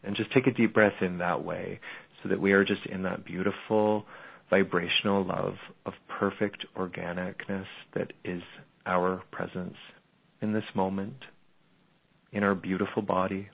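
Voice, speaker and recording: A man leading a slow, soothing guided meditation as a spiritual guide on a call-in show. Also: sits low, level tone, gravelly, slightly rough. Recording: phone-line audio.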